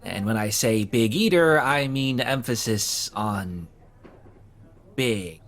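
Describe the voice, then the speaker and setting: A man's voice: mid-range voice, animated, slow. A man playing an awkward, hapless young man in an audio drama, talking in a hesitant, sheepish way full of fillers. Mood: sheepish, reluctant. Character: awkward, hapless young man who keeps getting fired. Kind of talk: conversational audio drama acting.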